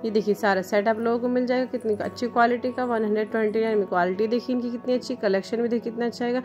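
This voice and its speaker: A woman shopping vlogger walking through store aisles with her phone, pointing out products and prices in brisk, upbeat, salesy patter. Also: a little high, steady tone, a little mellow, muffled recording, soft background music. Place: indoor retail store aisles.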